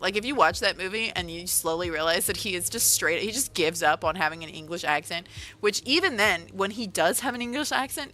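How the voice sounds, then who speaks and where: A woman talking loosely on a livestream, her voice sitting a bit low but with a bright, sharp edge. She rambles and circles back, correcting herself mid-sentence. Soft music plays faintly behind her. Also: poor audio. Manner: rambling, chatty, self-correcting with many fillers. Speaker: author and livestreamer. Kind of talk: casual livestream chat.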